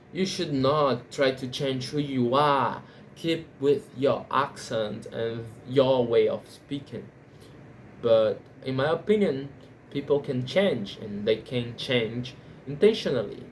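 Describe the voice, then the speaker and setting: A Brazilian man speaking English as a second language, his first being Portuguese, in an unscripted monologue, often halting and restarting his sentences. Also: medium pitch, a little hiss or hum. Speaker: language learner vlogger.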